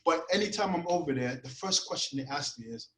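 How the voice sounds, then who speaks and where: A man with a Caribbean-tinged Toronto accent talks in an animated, story-driven way, peppering his talk with "you know what." His voice sits a little high. He comes through a video call from an echoey room with a faint hiss behind him. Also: fairly quick. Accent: Toronto, Caribbean-influenced. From Canada. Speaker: podcast guest, grime artist. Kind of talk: casual chat over video call.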